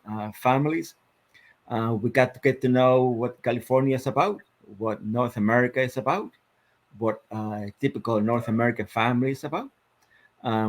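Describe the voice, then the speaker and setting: A man in his fifties from Argentina with an Argentine Spanish accent, a guest telling his life story in a reflective, measured way with many fillers. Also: medium pitch. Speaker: guest, sports psychologist. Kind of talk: interview storytelling.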